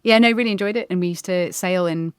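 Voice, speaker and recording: Woman, 40s, podcast guest, thoughtful and measured, lowish voice, dry close sound. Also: clear, resonant tone, no room sound. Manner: thoughtful, measured, pauses with ums. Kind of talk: reflective conversation.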